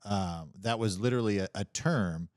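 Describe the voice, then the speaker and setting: A man chatting casually as a podcast co-host, explaining things in a rambling, halting way full of casual slang. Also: fairly low pitch, no room sound, studio quality. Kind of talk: casual chat.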